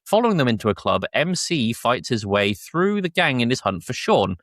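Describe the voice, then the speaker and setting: A man's voice: medium pitch, very animated, normal speed, dry recording, studio quality. A man hosting a podcast, chatting casually and recapping episodes in a jokey, informal way. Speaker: podcast host.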